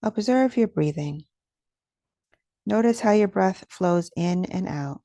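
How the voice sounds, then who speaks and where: A woman leading a guided meditation as its teacher, speaking in a gentle, soothing, instructional manner with soft pauses. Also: medium pitch, expressive, no echo.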